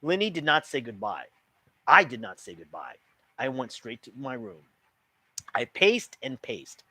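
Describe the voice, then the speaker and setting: A man reading a children's book aloud as an expressive storyteller. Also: mid-range voice, measured pace, slightly rough, no echo.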